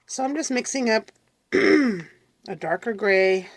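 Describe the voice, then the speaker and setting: Middle-aged woman host with a cold, congested and raspy, chatting and apologizing through a relaxed crafting tutorial. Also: a little high, takes it slowly, dry recording. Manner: chatty, apologetic, talks to viewers while working. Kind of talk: casual craft tutorial chat.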